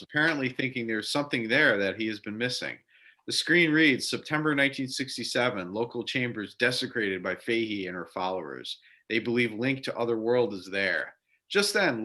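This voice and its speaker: Guy reading stage directions as narrator, bright crisp-edged forceful voice, medium pitch. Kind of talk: scripted narration.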